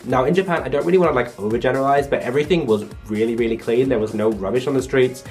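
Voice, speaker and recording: A young man from England chats to his viewers in an easygoing vlog, leaning on "like" as he goes. His voice sits at an ordinary middle pitch. Faint music plays behind him. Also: rapid-fire, a little mellow. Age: young adult. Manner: chatty, lots of "like" fillers. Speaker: vlogger. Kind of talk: casual vlog monologue.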